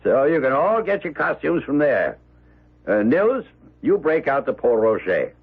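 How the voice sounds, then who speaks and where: An actor playing a domineering, brash old American millionaire who blusters in slang, in a radio drama. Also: male voice, mid-range voice, sing-song, unhurried, husky, exaggerated voice. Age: older. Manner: blustering, slangy, repeating himself. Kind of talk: 1970s radio drama acting. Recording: muffled old radio broadcast, music behind.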